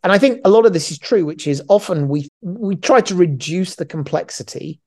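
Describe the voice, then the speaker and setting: A man's voice: high voice, lively intonation, fairly quick, darkish tone. A middle-aged man co-hosting a podcast, chatting casually in a rambling, joking way and often restarting his phrases. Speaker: podcast co-host.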